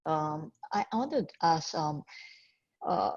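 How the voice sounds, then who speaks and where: A woman with a Singaporean accent asking a question from the audience in a halting way, repeating words. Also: low voice, expressive, slightly rough, no echo. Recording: dull, lo-fi video call audio.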